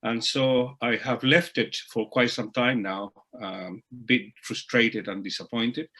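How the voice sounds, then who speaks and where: Bright-voiced man, halting and deliberate. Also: on the low side, breathy edge. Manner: hesitant, many fillers, slow deliberate phrasing. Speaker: webinar co-presenter. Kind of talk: online webinar introduction. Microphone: video call.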